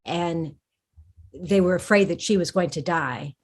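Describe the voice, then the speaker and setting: A woman speaking as the guest author in a conversational interview, her voice sitting a little low. She thinks aloud as she talks, circling back and restarting her sentences before landing on a point. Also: unhurried, a little mellow, slightly rough. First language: English. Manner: thoughtful, with frequent restarts and fillers. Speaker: historical fiction author, guest.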